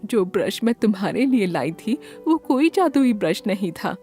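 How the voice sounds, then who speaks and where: A woman voicing a gentle, doting grandmother who coaxes a child affectionately in a children's cartoon story. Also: mid-range voice, big pitch swings, moderate pace, faint music behind, close to an everyday voice.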